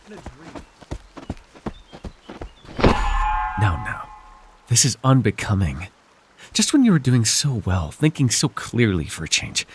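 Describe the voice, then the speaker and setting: A scheming dark sorcerer ruler, acted by a man, speaks in a low and very animated voice over noticeable background noise. He is smug, coercive and possessive, talking down to the listener with theatrical pauses and sighs in an immersive fantasy roleplay. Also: gravelly, full character voice. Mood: angry, commanding.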